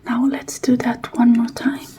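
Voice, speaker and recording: A woman playing a calm, self-assured hacker in an ASMR roleplay, hushed and reassuring. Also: fairly high pitch, measured pace, close to an everyday voice.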